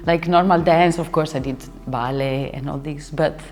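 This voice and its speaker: A young woman, a dancer and choreographer, chatting as an interview guest and often pausing to search for words. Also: sits low, steady tone, a little mellow. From Italy. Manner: searching for words, restarts sentences, laughs often. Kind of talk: casual interview talk. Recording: hall reverb with faint music behind.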